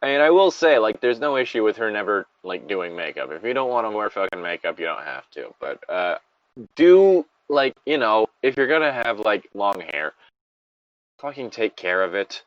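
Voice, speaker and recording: A man's voice: on the low side, big pitch swings, normal speed, no room sound, muffled recording. A man giving casual, rambling and blunt commentary on a story, with frequent swearing. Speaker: podcast host reading and commenting on a story.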